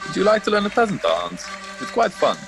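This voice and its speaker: An actor playing a jolly, courteous, flirty host in an audio play, with an inviting manner. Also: male voice, sits high, huge pitch swings, fairly quick, gravelly, music in the background, exaggerated voice. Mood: welcoming, flirty. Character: jolly, courteous, flirty male host inside a magical painting.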